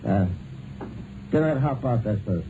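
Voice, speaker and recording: A man's voice: mid-range voice, expressive, moderate pace, natural voice. A dull, noisy 1950s radio recording holds a man acting a rich husband. He is cold and haughty, with a curt, threatening way of speaking.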